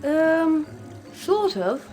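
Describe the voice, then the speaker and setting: A woman's voice: sits high, sing-song, very slow, darkish tone, soft background music, exaggerated voice. A woman voicing a polite, earnest young English schoolboy in a video game. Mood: polite, curious.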